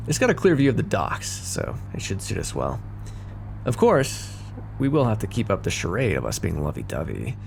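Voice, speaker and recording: A man's voice: fairly low pitch, creaky, darkish tone, slight background noise, heavily acted. A man playing a cocky, teasing mafia boss with a theatrical, flirty drawl in an ASMR roleplay.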